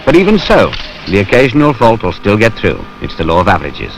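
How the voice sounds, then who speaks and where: A man's voice: fairly low pitch, huge pitch swings, brisk, creaky. A British man giving wry, colloquial, conversational narration for a film. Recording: noisy 1970s industrial film soundtrack.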